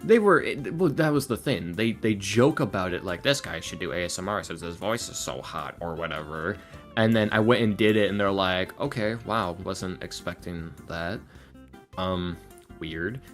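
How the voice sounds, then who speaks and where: A man's voice: low voice, expressive, normal speed. A man talking casually as a podcast guest, earnest and self-deprecating in a rambling way. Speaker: podcast guest, ASMR roleplay creator and voice actor. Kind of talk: casual podcast interview talk. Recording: music playing under the talk.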